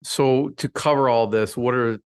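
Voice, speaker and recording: A man's voice: medium pitch, steady tone, unhurried, clear, resonant tone. A man hosting an interview, asking loose, rambling questions with frequent restarts. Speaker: podcast host.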